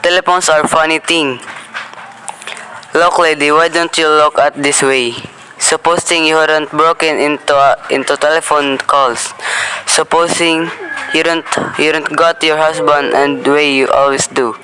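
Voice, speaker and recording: A young actor playing an impatient police desk officer in a school radio play, brusque and patronizing. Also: male voice, on the high side, fairly even intonation, measured pace, background noise, lightly acted.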